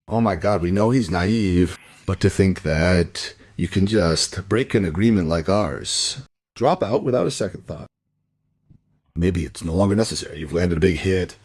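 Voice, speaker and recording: A low, husky, condescending crook. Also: male voice, exaggerated voice. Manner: condescending, evasive. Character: shady, evasive crime dealer, smooth, condescending, menacing. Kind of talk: crime radio drama acting.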